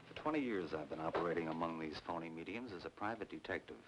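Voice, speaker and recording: A seasoned private eye with a very low voice. He is cynical, wry and matter-of-fact, in old film noir style, over a faint soundtrack hiss. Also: expressive, fast. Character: cynical, seasoned male private detective, former magician. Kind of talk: mid-century film noir acting.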